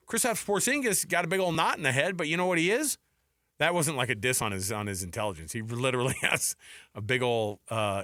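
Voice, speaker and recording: An American man in sports radio banter, with a forceful, bright edge to a voice pitched a little high. He is animated and opinionated, breaking off and restarting thoughts as he argues. The sound is dry and close. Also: dry recording. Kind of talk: unscripted sports talk banter.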